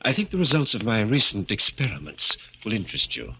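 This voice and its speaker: An actor playing an earnest, persuasive research doctor in a 1940s radio drama, polite and measured. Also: male voice, lowish voice, wildly swooping pitch, pushed and bright, slightly rough, full character voice, poor audio.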